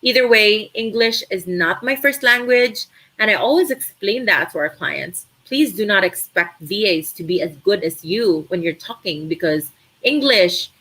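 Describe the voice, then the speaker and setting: Woman, young adult, Filipino accent, Tagalog native, live stream host, casual Q&A, animated, filler words. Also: medium pitch, normal speed, breathy edge, rough recording. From Philippines. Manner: chatty, animated, uses many fillers.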